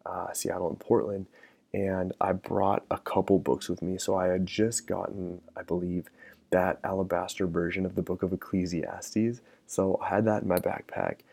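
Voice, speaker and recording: A man hosting a podcast, talking in a thoughtful, musing way with frequent fillers and restarts, close to the mic in a quiet room. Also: low-pitched, little pitch movement, normal speed, vocal fry. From American Midwest. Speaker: podcast host. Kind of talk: reflective casual monologue.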